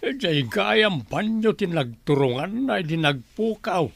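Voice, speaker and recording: A man's voice: sits high, expressive, a little slow, gravelly, a bit bright, full character voice. An actor playing a kindly, complaining old uncle in an Ilocano radio drama, fatherly and grumbling, with an Ilocano accent. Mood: concerned.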